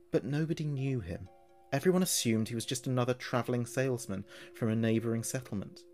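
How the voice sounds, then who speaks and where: A man narrating a scripted horror story in a measured, deliberate storytelling manner. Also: medium pitch, a little mellow.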